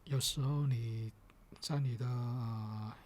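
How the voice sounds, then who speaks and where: A man performs ASMR in a gentle, soft-spoken voice that often slips into a whisper. His pace is slow and his pitch is middling. He sounds patient and a little hesitant, going step by step, over a faint layer of background noise. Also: steady tone.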